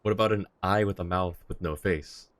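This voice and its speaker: A man's voice: low-pitched, moderate pace, no room sound. A young man streaming a game live, commenting casually and chatting with his viewers.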